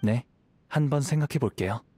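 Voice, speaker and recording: An actor playing a modest young wandering samurai in a game, calm, polite and reflective. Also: male voice, medium pitch, normal speed, natural voice. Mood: humble, reserved. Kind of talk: game voice acting. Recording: music behind the voice.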